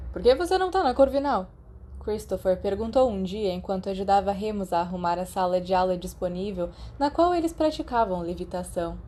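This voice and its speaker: A Brazilian-accented woman narrates fiction in audiobook style. Her voice is mellow and medium in pitch, following a written script. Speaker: podfic narrator.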